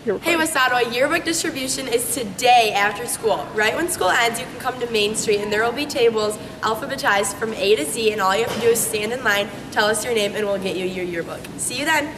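A woman's voice: fairly high pitch, fairly quick, long reverb tail, far from the mic, noisy background. A young woman promoting a school event to fellow students.

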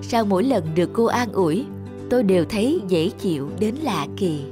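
A woman delivers scripted story narration. Music plays clearly behind her voice throughout. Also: mid-range voice, expressive, moderate pace.

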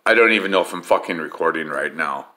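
A man's voice: sits low, lively intonation, fast, sharp tone, echoey room. Foul-mouthed ranting man, put-on Scottish accent. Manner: profane, cursing constantly. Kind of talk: casual vlog to camera. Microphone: phone camera.

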